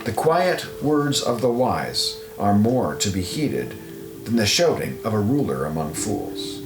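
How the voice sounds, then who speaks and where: A man narrating an audio Bible, reading scripted scripture in a measured, solemn recitation. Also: lowish voice, sing-song. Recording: roomy echo, guitar music underneath.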